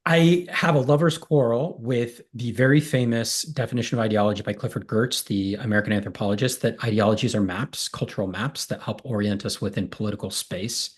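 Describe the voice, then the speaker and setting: A husky-toned man in his thirties talks through abstract ideas, piling up lists of terms as he reasons aloud. He is on a video call from a small home room, heard through a cable earphone mic. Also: fairly low pitch, steady tone, brisk, hardly any pauses. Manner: thoughtful, explanatory, builds long lists. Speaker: guest, political science professor. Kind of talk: academic interview on a video call.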